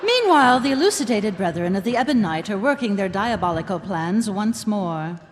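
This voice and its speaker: A woman's voice: mid-range voice, very animated, moderate pace, natural voice. A woman performing as a wry, knowing storytelling narrator in a scripted live radio comedy. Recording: reverberant live stage, faint music.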